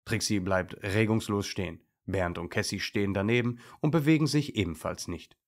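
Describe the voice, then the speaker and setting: Deep, creaky male children's storyteller. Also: normal speed. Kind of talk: scripted children's story narration.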